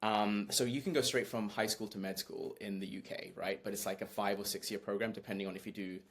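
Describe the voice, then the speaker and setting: A man's voice: on the low side, fairly even intonation, fairly quick. Chatty man with a Kiwi-British accent. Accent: mixed New Zealand and British. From New Zealand. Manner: friendly, chatty storyteller with many restarts. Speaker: guest physician. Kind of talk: casual podcast conversation.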